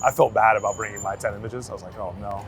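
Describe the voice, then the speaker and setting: Gravelly man chatting on a city street. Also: lowish voice, fairly even intonation, quick pace. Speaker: street photographer being interviewed. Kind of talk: casual interview chat on the street. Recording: outdoor city street noise, traffic.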